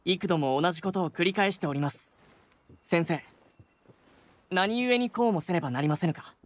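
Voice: medium pitch, moderate pace, lightly acted. An actor playing an earnest, polite young samurai boy apprentice in a game, speaking formally. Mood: earnest, questioning. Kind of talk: samurai game drama acting.

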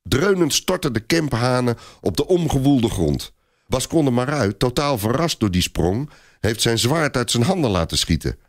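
A man's voice: mid-range voice, sing-song, moderate pace. A man narrating a scripted adventure story in a theatrical, suspenseful manner.

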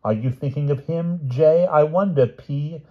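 Dithering, high-pitched eager king. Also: measured pace. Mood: earnest, hopeful. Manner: blustering English upper-class verbal tics. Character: dithering, eager old-fashioned king and amateur poet. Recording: dull, muffled lo-fi audio.

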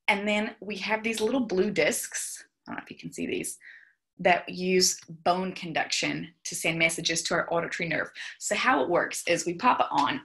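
A woman's voice: fairly low pitch, creaky, sharp tone. A young woman from New Zealand with a faded New Zealand accent, presenting a webinar as a speech therapist over a video call in a small room at home, in a friendly, chatty and explanatory way. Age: young adult.

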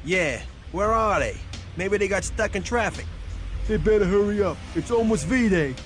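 A man's voice: high-pitched, expressive, normal speed, faint music behind. An actor playing an impatient, thuggish henchman in a video game, with brash street-tough delivery.

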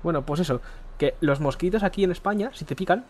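A man's voice: a little high, quick pace, a little hiss or hum. Rambling Spanish gamer man, throaty. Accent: Spain Spanish. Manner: rambling, crude, excitable. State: keeps clearing his throat. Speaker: gaming streamer. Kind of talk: casual gameplay chat. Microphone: headset mic close to the face.

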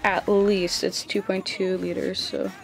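A woman's voice: mid-range voice, little pitch movement, a little slow, creaky, poor audio. A young woman in her twenties casually talking to her phone camera at home, chatty and a bit self-conscious. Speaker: fitness vlogger. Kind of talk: casual vlog talk to camera. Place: home rooms, gym room and a parked car. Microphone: handheld phone camera at arm's length.

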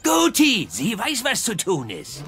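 An actor playing a gruff, blustering, comic Viking man in an animated audio drama. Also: high-pitched, measured pace, music in the background, exaggerated voice.

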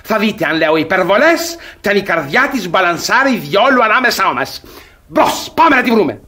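An actor playing a pompous, gullible, jealous middle-aged suitor in a classic radio comedy, with a theatrical, condescending manner. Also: male voice, sits high, very animated, sharp tone, heavily acted. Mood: scornful, challenging.

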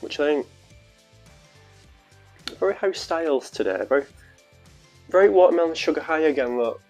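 A man's voice: on the high side, lively intonation, moderate pace, a little mellow, muffled recording, soft background music. Chatty young Northern English man. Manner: chatty, playful, rambling with fillers. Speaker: vlogger. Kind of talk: casual chat to camera. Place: small room at home, later outdoors in a park. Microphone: lapel mic.

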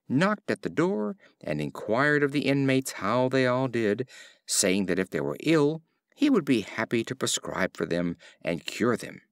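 A man narrating fables as an audiobook reader, in an expressive storytelling style. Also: mid-range voice, dry recording, clean studio sound.

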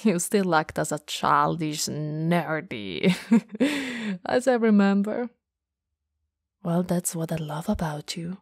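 Slow, low-pitched teasing older sister, acted by a woman. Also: gravelly, dry recording, studio quality, full character voice. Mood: fond, teasing. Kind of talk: intimate ASMR roleplay. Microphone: close studio microphone.

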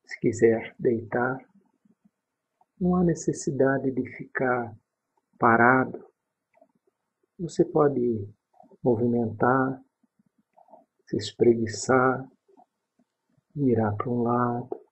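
A man with a Brazilian accent leading a guided sleep meditation, calm and unhurried, pausing between short phrases in a husky, breathy voice. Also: medium pitch. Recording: dull, muffled lo-fi recording.

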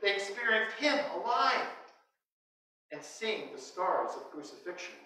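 In a very echoey church, picked up from a distance, an older American man in his sixties delivers a sermon. His voice is high for a man, and he moves through short, measured phrases with pauses between them. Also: very animated, bright, forceful tone. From United States. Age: 60s. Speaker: priest. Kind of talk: sermon read from notes. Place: inside a church, standing before the altar. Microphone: distant microphone, speaking through a face mask.

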